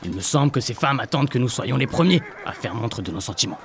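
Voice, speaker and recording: Creaky, courtly medieval knight played by a man. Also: mid-range voice, expressive, fast talker, a bit bright, soft background music. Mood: earnest, aggrieved. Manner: mock-archaic, pompous diction. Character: earnest, agreeable medieval knight companion, mock-courtly. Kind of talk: comic audio drama acting.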